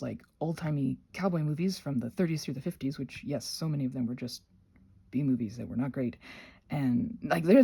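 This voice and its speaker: A woman with a lowish, mellow voice rambles casually while under the weather. Her speech is husky, full of restarts and self-corrections, with animated emphasis on her asides. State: sick. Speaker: host of a rambling audio channel.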